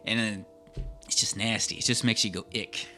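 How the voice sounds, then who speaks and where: A man's voice: on the low side, fairly even intonation, moderate pace, sharp tone. A man co-hosting a podcast, chatting casually in a rambling, repetitive, informal way. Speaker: podcast co-host. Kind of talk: casual chat about old radio horror. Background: faint music.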